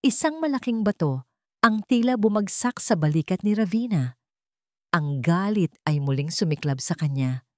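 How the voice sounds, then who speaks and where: Low-pitched woman narrating a dramatic story. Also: expressive, moderate pace, mellow, dry recording, studio quality.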